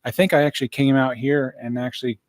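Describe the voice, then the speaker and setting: A hoarse man in his thirties giving a casual, rambling live update into a studio microphone in a small room. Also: mid-range voice, little pitch movement, fast talker, slight background noise. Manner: rambling, many fillers and restarts. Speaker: vlogger giving a health update. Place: small indoor room, wood-plank backdrop. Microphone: studio mic on boom arm in front.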